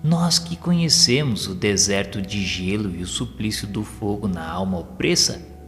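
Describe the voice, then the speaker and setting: Low-voiced solemn male narrator in reverb. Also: expressive, a bit bright. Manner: solemn, formal, measured. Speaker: narrator of an audio novel. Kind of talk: scripted dramatic narration of a spiritual story. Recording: long reverb with faint music behind. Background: music.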